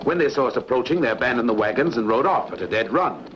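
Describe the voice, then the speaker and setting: A brisk Roman officer, played by a man in a sword-and-sandal film dub, reporting urgently and fast in a lively mid-range voice. The audio is muffled, with clear noise around it. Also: medium pitch, lightly acted.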